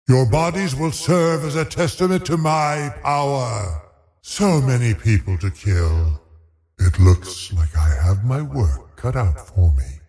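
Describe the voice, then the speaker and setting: An actor playing a haughty, cruel undead sorcerer in a fantasy video game, gravelly and menacing, with theatrical, imperious delivery. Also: male voice, deep voice, mellow, exaggerated voice. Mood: cold menace. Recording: long cavernous reverb.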